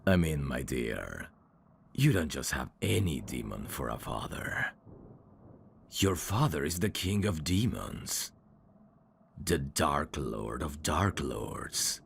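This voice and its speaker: A man voicing a sly demon servant in an ASMR audio drama, courtly and theatrical with irreverent, sardonic asides and a very deep, gravelly voice. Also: very animated, takes it slowly, slight background noise, heavily acted. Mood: reverent, courtly.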